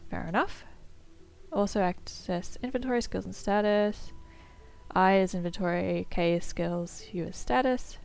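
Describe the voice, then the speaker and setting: A woman's voice: medium pitch, measured pace, gravelly, a little mellow, clean tone. Chatty woman gamer, a bit nasal. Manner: chatty, upbeat, muses aloud with fillers. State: slightly nasal from a cold. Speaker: gameplay streamer. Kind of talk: casual let's-play commentary, reading tutorial text aloud.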